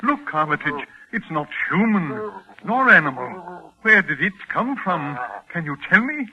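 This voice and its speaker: An actor playing a frightened, overwrought professor with an agitated, pleading delivery in 1940s radio drama style. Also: male voice, a little high, very animated, unhurried. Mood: appalled, frantic. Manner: agitated, repeating questions in dread. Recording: muffled old radio recording.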